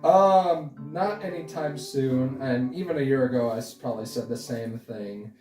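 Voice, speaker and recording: Casual male guest, twenties, halting and rambling, roomy sound with music underneath. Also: mid-range voice, a little mellow, distant mic. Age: 20s. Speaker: interview guest, stop-motion creator. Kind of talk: casual chat. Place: indoor room, sharing the frame with host. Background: guitar music.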